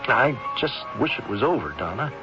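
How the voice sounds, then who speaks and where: A man's voice: mid-range voice, lively intonation, moderate pace, over loud music, close to an everyday voice. An actor playing a nervous, doubtful male lover and accomplice, faltering as he speaks, in 1970s radio drama style. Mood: uneasy, doubtful. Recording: dull old radio recording.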